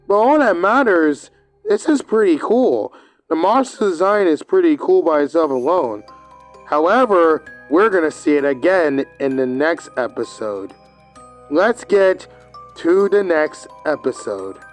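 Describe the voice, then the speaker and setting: High-voiced man rambling through a review. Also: lively intonation, slow pace, faint music behind. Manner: rambling, hesitant recap with fillers. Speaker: video reviewer. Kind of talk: casual video commentary.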